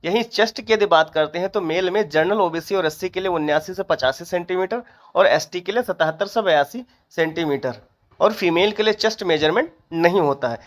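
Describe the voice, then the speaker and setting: A presenter giving an informational explainer, methodically reading out details and figures. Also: mid-range voice. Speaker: exam update presenter.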